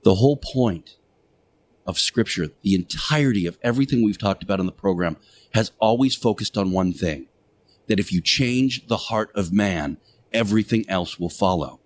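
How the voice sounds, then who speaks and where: A man hosting a radio show, preaching in a deliberate monologue with emphatic short phrases, pauses and repetition. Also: on the low side, slight background noise. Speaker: radio show host playing Jesus in interactive radio theater.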